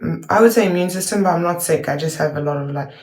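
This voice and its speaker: A woman hosting a reaction video in casual, rambling chat, full of fillers and restarts, with an accent that is hard to place and a bit of allergy throat-clearing. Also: lowish voice, fast talker, darkish tone, roomy sound. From New Zealand. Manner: rambling, filler-heavy, self-correcting.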